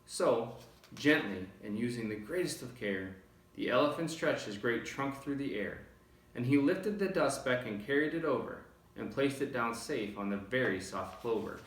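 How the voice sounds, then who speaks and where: A man reading children's verse aloud as an expressive storybook narrator. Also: mid-range voice, moderate pace. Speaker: storybook reader. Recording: roomy, distant microphone.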